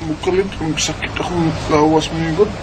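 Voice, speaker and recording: A man acting an ailing, weary young brother in a Bisaya radio drama, with a halting, groaning, weak delivery. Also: a little high, fairly even intonation, natural voice. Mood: pained, weary. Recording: noisy background behind the voice.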